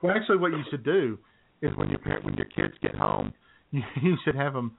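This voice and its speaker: A man's voice: mid-range voice, huge pitch swings, a little fast, creaky, husky. A man co-hosting a comedy radio show, joking in a mock-serious way and laughing often. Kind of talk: casual comedy banter. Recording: tinny phone-like sound.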